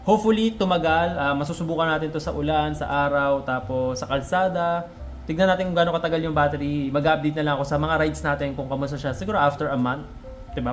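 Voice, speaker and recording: A Filipino man talking casually to viewers in a conversational product review vlog, as a motovlogger. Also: a little high. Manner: conversational Taglish, addressing viewers.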